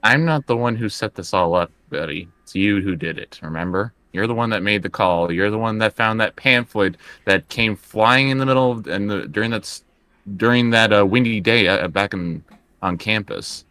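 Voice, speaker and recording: Low-voiced man, stammering roleplayer. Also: normal speed, pushed and bright. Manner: hesitant, stammering, repeats words. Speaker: player in a tabletop roleplaying game. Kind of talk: improvised in-character tabletop play, conversational.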